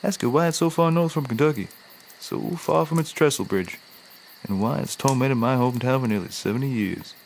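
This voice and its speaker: A folksy, easily delighted small-town fellow from a comedy series. His voice sits at an average pitch and he draws out his exclamations. Night insects chirp faintly around him. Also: expressive, fairly quick, vocal fry, slight background noise. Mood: eager, vengeful. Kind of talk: scripted comedy acting.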